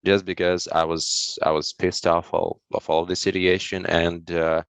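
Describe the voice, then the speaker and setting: A young man from Ukraine speaks English with a Russian-speaking Ukrainian accent. His voice is very low and smooth, and the recording is dry and close. He talks casually, pausing often with fillers. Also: level tone, no echo. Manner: hesitant, many fillers and restarts. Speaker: guest, economist. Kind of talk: casual conversation.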